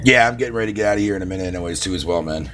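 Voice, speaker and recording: Canadian male streamer, low-pitched, animated, foul-mouthed casual rambler, faint background hum. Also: rapid-fire. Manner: profane, rambling, laughs often. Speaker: live streamer. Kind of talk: casual live-stream chat.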